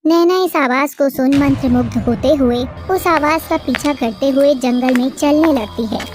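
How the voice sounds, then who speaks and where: High-voiced woman narrating a cartoon tale. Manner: steady, storytelling cadence. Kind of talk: scripted storybook narration.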